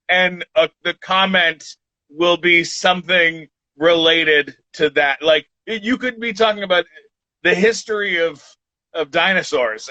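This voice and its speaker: A man in his forties, a podcast guest chatting casually, rambling and halting with many restarts. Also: sits high, sharp tone, no room sound. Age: 40s. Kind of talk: casual chat. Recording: dull lo-fi sound.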